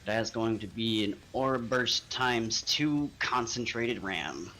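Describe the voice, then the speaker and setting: A man's voice: mid-range voice, a little slow, bright, forceful tone, poor audio. A man playing a tabletop role-playing game, talking casually as he describes the action. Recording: clear music behind the voice. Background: music.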